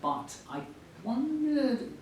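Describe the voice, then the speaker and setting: A man's voice: big pitch swings, extremely slow, dull audio. Very echoey man interviewing, hesitant. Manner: hesitant, roundabout questioning. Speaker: interviewer at a book event. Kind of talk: literary interview before an audience. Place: echoey hall at a live book event. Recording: very echoey, distant mic, faint rumbling noise.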